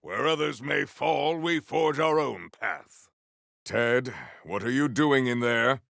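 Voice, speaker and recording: An actor playing a proud, honorable warrior in a video game, speaking in a stately, theatrical, formal way. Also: male voice, medium pitch, takes it slowly, creaky, fairly bright tone, dry recording, studio quality. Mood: earnest, noble. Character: proud, honorable, formal warrior, adult man, earnest and grandiose. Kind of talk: video game character voice lines.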